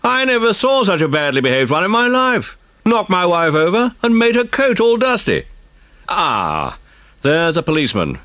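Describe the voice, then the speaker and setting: A man acts an irate, bossy husband in a children's storybook. He is demanding and blustering, with a raised, loud, swooping voice. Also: sits high, wildly swooping pitch, normal speed, dry recording, voice pushed up, full character voice. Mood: indignant. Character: angry, bossy husband complaining loudly.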